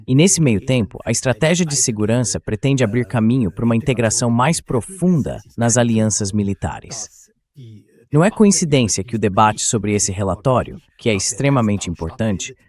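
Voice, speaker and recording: A man speaks as an interview guest, explaining political matters in a measured way, like a dubbed translation. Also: medium pitch, a little mellow.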